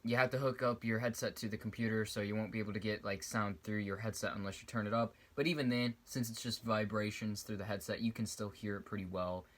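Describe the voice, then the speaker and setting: A man's voice: lowish voice, steady tone, fast, hardly any pauses, fairly bright tone. A young man giving a casual, rambling video review, often restarting sentences and drifting off topic. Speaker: YouTuber reviewing a VR headset. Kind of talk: casual product review vlog.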